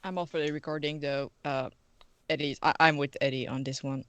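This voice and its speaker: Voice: medium pitch, flat delivery, fairly quick, fairly bright tone, a little hiss or hum. A player in a tabletop roleplaying game, chatting casually in character.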